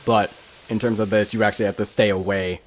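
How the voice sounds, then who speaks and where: A man's voice: fairly low pitch, little pitch movement, fast talker, slight background noise. A young man giving casual, rambling let's play commentary with an exasperated streak. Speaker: gaming video host. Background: game music.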